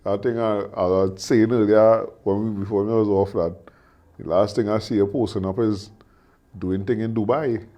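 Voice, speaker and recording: A man with a Trinidadian accent hosting a casual chat, speaking in relaxed local dialect. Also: lowish voice, normal speed. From Trinidad and Tobago. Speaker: podcast host.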